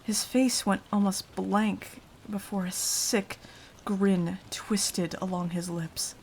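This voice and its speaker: A woman's voice: medium pitch, fairly even intonation, a little hiss or hum, poor audio. A woman playing a frightened, self-sacrificing young victim, telling of a vampire attack as dramatic ASMR roleplay. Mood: haunted dread. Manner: hushed, dramatic, deliberate storytelling.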